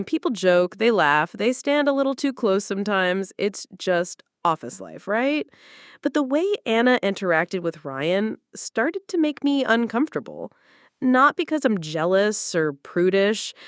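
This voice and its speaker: A female narrator reads a personal story dramatically, with very animated intonation. Her delivery is conversational and confiding, as though sharing something privately. The recording is close and dry, with hardly any room sound. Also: mid-range voice, no echo.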